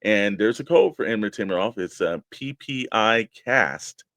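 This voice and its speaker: A man's voice: fairly low pitch, animated, moderate pace, a bit bright, dry recording, clean studio sound. A man co-hosting a talk show, chatting casually and putting questions to his partner. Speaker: co-host of a toy collecting show.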